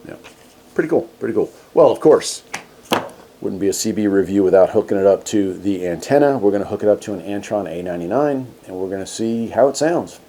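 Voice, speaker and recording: A man's voice: on the low side, animated, a little fast, mellow, slight background noise. A man hosting a casual hands-on product review, talking in a laid-back, folksy way. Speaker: host of a radio gear review channel.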